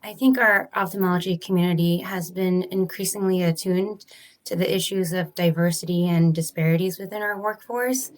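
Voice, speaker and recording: A woman speaks in a low, even tone with little rise and fall. She explains her research in a measured, earnest way, the pace careful and steady. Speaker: ophthalmologist, study author. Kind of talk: podcast interview answers.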